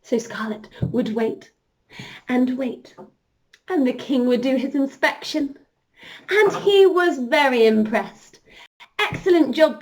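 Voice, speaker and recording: A woman telling an interactive story to young children as a princess entertainer, animated and encouraging, speaking directly to them. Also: high voice.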